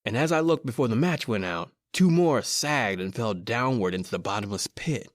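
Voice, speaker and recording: A man's voice: mid-range voice, expressive, moderate pace, no echo, studio quality, lightly acted. A man playing a frightened American criminal, urgent and dramatic, in an old-time radio horror play. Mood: tense, haunted. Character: frightened male criminal narrating his doom.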